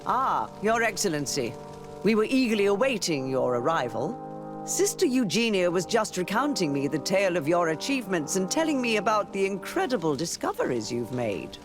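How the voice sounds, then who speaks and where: A courteous, cautious clergyman from a fantasy game. His voice is high for a man and swings widely in pitch, with music clearly behind it. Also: big pitch swings, moderate pace. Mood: courtly welcome. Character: courteous, cautious senior churchman of a fantasy faith. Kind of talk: scripted fantasy game dialogue.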